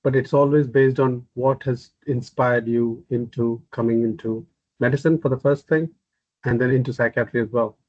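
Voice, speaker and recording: Flat-voiced man, measured and explanatory. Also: mid-range voice, no echo. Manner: measured, explanatory, repeats himself. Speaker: psychiatrist. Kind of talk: podcast interview answers. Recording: dry, dull, muffled audio.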